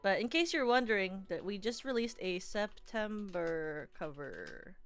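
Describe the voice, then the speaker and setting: A woman's voice: medium pitch, lively intonation, measured pace, soft background music. A young adult woman streamer in casual, rambling livestream chat, playfully teasing her viewers.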